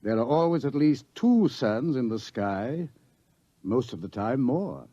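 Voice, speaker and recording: An actor playing a grimly certain doctor of science in a radio drama, lecturing with emphatic, ominous pauses. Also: male voice, mid-range voice, big pitch swings, mellow, dry recording. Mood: authoritative. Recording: dull, dry vintage radio sound.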